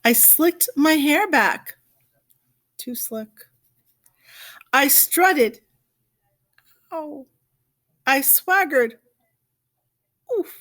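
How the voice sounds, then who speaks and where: Female storybook reader: unhurried delivery, very lively intonation, dramatic pauses, stretched key words for young listeners. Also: sits high. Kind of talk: expressive read-aloud of a picture book.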